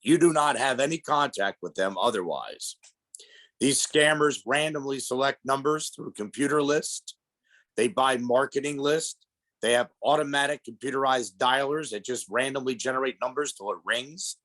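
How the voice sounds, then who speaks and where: A man's voice: medium pitch, moderate pace, a bit bright. A middle-aged man with a head cold, sounding congested, giving a plainspoken presentation to an audience. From Pennsylvania. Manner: plainspoken, explanatory, addresses audience as folks. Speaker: guest speaker on elder fraud. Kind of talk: public presentation.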